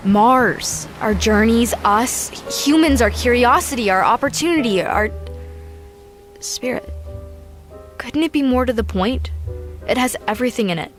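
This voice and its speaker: An actress playing a dreamy, romantic female astronaut in an audio sci-fi drama, speaking in halting, wistful musings. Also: a little high, lively intonation. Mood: earnest wonder. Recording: music behind the voice.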